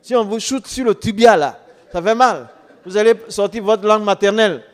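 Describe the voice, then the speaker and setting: High-voiced man preaching in a reverberant hall. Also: moderate pace. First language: non-French mother tongue. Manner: emphatic, repetitive, rhetorical questions. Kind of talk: testimony preaching to an audience.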